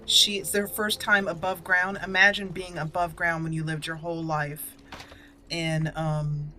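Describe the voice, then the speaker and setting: A woman's voice: low voice, level tone, measured pace, bright, forceful tone. A woman hosting a gaming channel, giving let's play commentary with roleplay as she plays.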